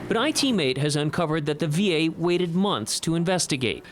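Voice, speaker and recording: A man's voice: fairly high pitch, expressive, moderate pace. An American man reading a scripted investigative television news report as the reporter.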